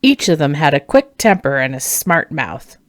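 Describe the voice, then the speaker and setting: A woman reads a fantasy tale aloud in an expressive storytelling style. Her voice sits a little low for a woman. The sound is dry, with very little room in it. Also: normal speed, no echo. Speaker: author reading own novel.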